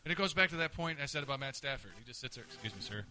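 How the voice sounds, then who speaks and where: Fast-talking man with a sharp, forceful voice. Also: a little high, soft background music. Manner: joking, wry. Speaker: sports podcast co-host. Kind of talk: casual chat.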